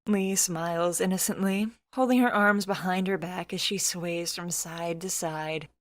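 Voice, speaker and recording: A woman's voice: lowish voice, steady tone, unhurried, no echo, studio quality. A woman reading scripted third-person fiction as a story narrator.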